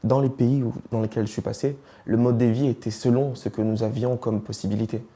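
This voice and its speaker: A man's voice: mid-range voice, little pitch movement, fairly quick, dark tone. A man in his twenties from Rwanda, answering interview questions hesitantly and searching for words. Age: 20s. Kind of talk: personal interview, switching languages.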